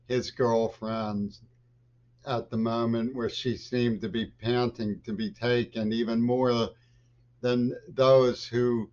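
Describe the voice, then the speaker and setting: An older man in a small home office talks to his webcam in a rambling political monologue, jumping between loud bursts and low mutters. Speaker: vlogger. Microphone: webcam at desk. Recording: dull lo-fi webcam audio.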